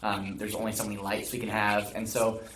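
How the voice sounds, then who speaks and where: A man giving casual, reflective opinions in an interview, softening them as he goes. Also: fairly low pitch, monotone, fairly quick. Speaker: theatre director and teacher. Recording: roomy echo, background noise.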